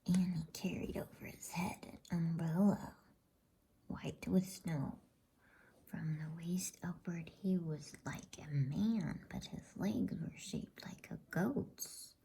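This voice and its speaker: A woman softly whispering a bedtime story in ASMR style, breathy, with a halting delivery. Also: on the low side, rough recording.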